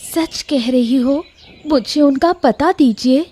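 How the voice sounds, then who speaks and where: A woman's voice: high-pitched, slow, dark tone, voice pushed up. A woman voicing a distressed young village woman pleading for help in a moral story.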